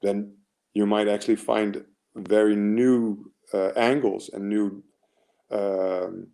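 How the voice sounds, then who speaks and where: Low gravelly American man coaching. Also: little pitch movement, slow pace, dark tone. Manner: earnest, rambling, with many fillers and restarts. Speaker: mental performance coach. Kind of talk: motivational webinar talk.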